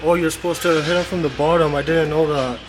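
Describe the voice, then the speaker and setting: A man's voice: fairly high pitch, background noise. A man from Canada casually narrating a walking vlog outdoors on narrow town streets. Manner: relaxed, unhurried narration to camera. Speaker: vlogger.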